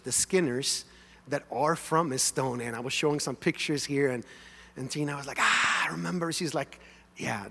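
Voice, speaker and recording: A man from Sweden preaches in a bright, forceful voice that rings through a large hall. He tells his story in a casual, animated way. His voice sits a bit high for a man. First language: Swedish. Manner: animated, conversational, leads congregation in song. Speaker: pastor. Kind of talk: sermon storytelling. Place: large church sanctuary.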